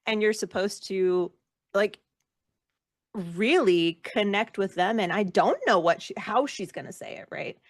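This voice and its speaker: A woman speaking casually as an interview guest, reflective and self-deprecating, with an animated way of talking. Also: medium pitch, a little slow, dry recording, clean studio sound. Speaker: guest, actor and performer.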